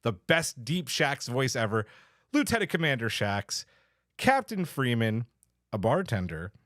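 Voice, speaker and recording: Animated male podcast host. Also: mid-range voice, unhurried, no echo, clean studio sound. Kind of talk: casual podcast chat.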